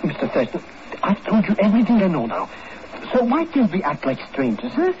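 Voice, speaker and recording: Comic sidekick crook: excitable, stammering, swooping delivery, old radio play with heavy noise. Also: male voice, high voice, fairly quick, heavily acted. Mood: nervous. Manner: flustered, stammering, mangles his words. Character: nervous, shifty small-time crook, excitable comic sidekick. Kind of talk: 1950s radio drama acting. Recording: dull, noisy old 1950s radio recording.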